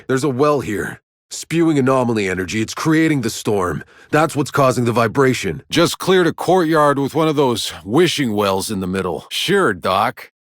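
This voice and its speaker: A man voicing a gruff, sardonic, battle-hardened soldier hero in a video game, with a terse, dry delivery. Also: a little high, a bit bright, no room sound. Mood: calm, observant, then wry, boastful. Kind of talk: video game character voice lines.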